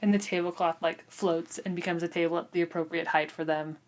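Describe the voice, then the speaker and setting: A woman's voice: lowish voice, steady tone, brisk. A woman co-hosting a book discussion, recapping a novel in a casual, giggly, conversational way. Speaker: book discussion podcast co-host.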